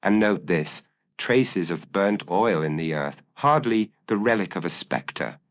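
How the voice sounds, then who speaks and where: A man voicing a shrewd, confident gentleman detective in an audio story, speaking precisely and formally. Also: low-pitched, expressive, a little slow. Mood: assured, analytical.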